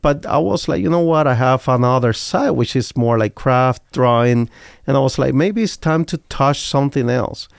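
A man's voice: mid-range voice, moderate pace, vocal fry, smooth, no echo, studio quality. A man from Cuba with a Cuban Spanish accent, talking earnestly and reflectively as an interview guest. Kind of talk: interview talk.

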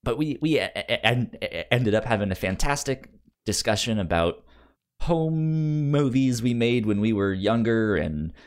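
Upbeat, animated male podcast host. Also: medium pitch, a little slow. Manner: upbeat and enthusiastic; stammering over words. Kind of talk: casual chat.

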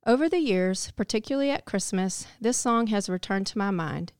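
A woman's voice: medium pitch, animated, normal speed, a little mellow, clean tone, no echo, clean studio sound. A woman giving a scripted, reflective devotional narration. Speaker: devotional speaker.

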